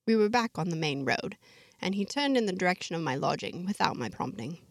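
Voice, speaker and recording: A woman's voice: lowish voice, very animated, no echo. Woman narrating a story, dry and close. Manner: measured, reflective diary-like delivery. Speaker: storyteller narrating in first person. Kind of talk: scripted fiction narration.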